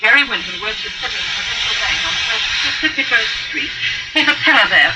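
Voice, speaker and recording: Actress as insistent high-voiced woman in radio drama. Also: animated, fairly quick, close to an everyday voice, poor audio. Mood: intent, recounting. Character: insistent engaged woman confronting a man in a drama. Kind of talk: old radio drama acting. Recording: radio drama playing through vintage radio, music.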